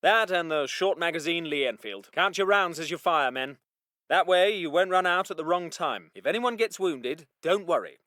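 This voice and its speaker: An actor playing a polite, plucky British Second World War army medic in a video game, with clipped, old-fashioned genteel phrasing. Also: male voice, on the high side, lively intonation, normal speed, bright, forceful tone, dry recording, studio quality. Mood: calm encouraging. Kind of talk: military video game unit voice lines.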